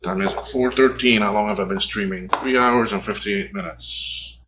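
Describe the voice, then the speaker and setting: Low-voiced man in his fifties chatting. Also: normal speed, fairly bright tone, slight background noise. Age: 50s. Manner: rambling, self-correcting, talks while tinkering. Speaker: livestream host repairing vintage computers. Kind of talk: casual livestream chat while working.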